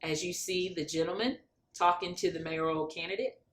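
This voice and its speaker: A woman reading a picture book aloud to children, expressively, pausing to explain what the pictures show. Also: lowish voice, steady tone, rough recording. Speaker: guest storybook reader. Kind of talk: children's read-aloud narration.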